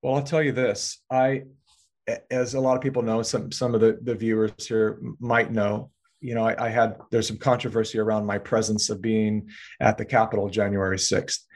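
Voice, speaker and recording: A man with a fairly low voice talks at length in an interview, earnest and rambling. He often restarts words mid-sentence as he builds his point. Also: flat delivery, moderate pace, darkish tone. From California. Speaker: filmmaker guest. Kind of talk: political interview talk.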